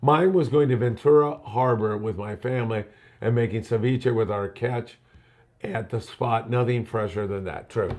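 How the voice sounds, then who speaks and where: A man's voice: medium pitch, normal speed, a little mellow. A middle-aged man hosting a live show, telling stories in a casual, chatty way and reading out viewer questions. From United States. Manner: chatty storyteller, reads viewer questions aloud. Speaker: show host. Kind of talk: casual live-stream chat.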